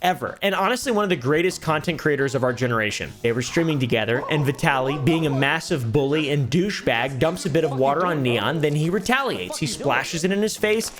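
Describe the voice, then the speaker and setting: A young man, fairly high in voice, narrates online drama in a dry, sarcastic tone and swears without a second thought. Faint music plays under his voice. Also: animated, fairly quick, hardly any pauses. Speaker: commentary YouTuber. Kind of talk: sarcastic commentary on streamer drama.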